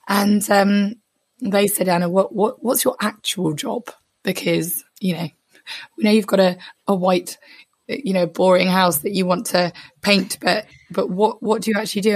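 A hoarse woman, a psychotherapist, speaking reflectively and candidly as a podcast guest. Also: medium pitch, dry recording. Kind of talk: casual interview.

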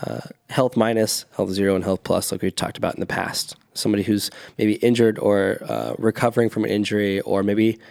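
A man's voice: fairly low pitch, level tone, brisk, gravelly, hardly any pauses, a little mellow. A man in his thirties, a fitness coach co-hosting a podcast, explaining earnestly in a casual chat with restarts.

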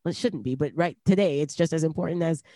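A woman's voice: sits low, quick pace, warm tone, no room sound, studio quality. A woman in her late twenties, a rapper from Canada, talking reflectively in a casual interview, with many "like" fillers and easy laughter. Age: late 20s. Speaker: rapper guest.

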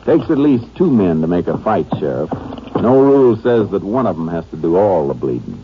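An American man acting a laconic, sharp-eyed Texas Ranger who questions tersely and matter-of-factly in an old-time radio drama. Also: sits low, sing-song, fairly quick, loud music behind the voice. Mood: businesslike. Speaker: actor in a radio crime drama. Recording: muffled, noisy vintage radio recording.

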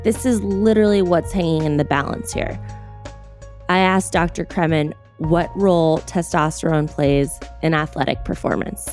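Low-voiced woman narrating a report. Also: darkish tone, faint music behind. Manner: earnest, measured explanatory delivery. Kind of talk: scripted narration.

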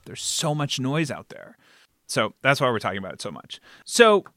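Podcast interviewer, male, bright forward voice, dry close sound, casual and conversational, checks in often. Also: medium pitch, moderate pace, dry recording. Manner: animated rhetorical check-ins, often ending phrases with "right?". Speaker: podcast interviewer and fiction podcast creator.

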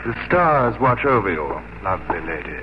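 An actor playing a smooth, over-polished gentleman suitor in a 1950s radio drama, florid, suave and flattering. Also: deep voice, normal speed. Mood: flattering, amorous. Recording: muffled, noisy 1950s radio recording.

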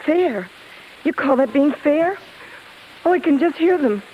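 An American actress playing a spirited, wounded star wife in a 1950s radio drama, emotional and slangy, by turns brassy and pleading. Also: female voice, high-pitched, animated, a little slow. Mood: hurt indignation. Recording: muffled, hissy 1950s radio broadcast.

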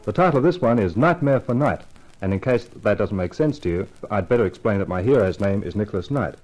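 A man's voice: lowish voice, animated, fast, a little mellow, low-quality recording. A man hosting a scripted radio mystery, introducing it in a formal showman's manner. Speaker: author-host introducing the story.

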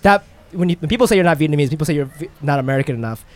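A man from Japan hosting a casual podcast, animated and blunt, swearing freely as he chats. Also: fairly high pitch, very fast. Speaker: podcast host.

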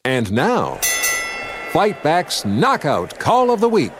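A man's voice: a little high, wildly swooping pitch, slow pace, background noise. A man doing promotional announcements for a radio station.

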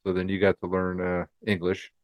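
Low-voiced male interview host. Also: brisk. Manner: relaxed, many fillers, supportive. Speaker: podcast host. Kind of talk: casual interview.

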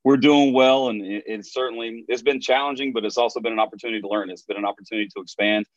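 A hoarse man in his forties, a basketball trainer and podcast guest, talking earnestly and often repeating words. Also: medium pitch, fairly even intonation, quick pace, no room sound, muffled recording.

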